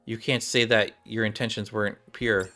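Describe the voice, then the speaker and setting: A man's voice: on the low side, flat delivery, moderate pace, pushed and bright. A man in his thirties streaming a game and reading its dialogue aloud in a room at home. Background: game music, keyboard typing.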